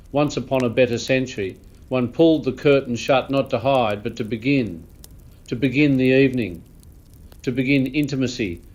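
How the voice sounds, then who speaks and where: Casting: male actor as aging, haughty lord, mid-pitched and unhurried, theatrical and world-weary, for a comic monologue. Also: lo-fi sound, lightly acted. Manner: pompous, florid, theatrical, disdainful. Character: pompous, world-weary old aristocrat lamenting lost elegance. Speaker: host.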